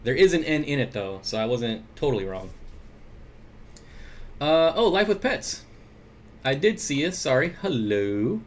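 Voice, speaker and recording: A man's voice: fairly high pitch, big pitch swings, a little slow, pauses often. A man hosting an aquarium livestream, chatting casually and reading viewer comments aloud with jokey asides. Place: home room with aquariums. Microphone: desk mic at computer.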